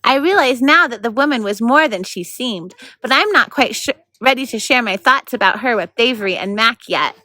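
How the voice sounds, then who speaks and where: A woman's voice: a little high, animated, moderate pace, hardly any pauses, no echo. A woman reading a children's novel aloud as a volunteer storyteller. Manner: coaches child readers with quiet prompts.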